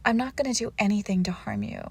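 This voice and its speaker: Woman acting a teasing, haughty young vampire, slightly creaky. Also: on the high side, fast. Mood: playful, smug. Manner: teasing, coy, entitled. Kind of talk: intimate roleplay audio acting.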